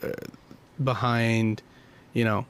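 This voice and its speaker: A man's voice: mid-range voice, little pitch movement, takes it slowly, clear, resonant tone. Casual, blunt man talking movies. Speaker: podcast host.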